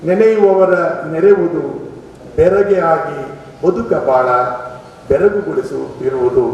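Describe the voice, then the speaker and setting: A man reciting poetry as a poet, in measured verse with repeated lines. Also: high voice, a little mellow. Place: indoor event hall. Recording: very echoey hall, distant dull mic, faint noise.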